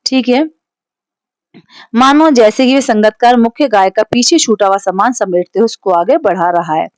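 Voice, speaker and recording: A woman's voice: a little high, normal speed, a little mellow, no room sound. A woman with a Hindi accent teaching a class, explaining a poem line by line in a patient, explanatory way and checking in with her listeners often. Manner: explanatory, frequent "theek hai" check-ins.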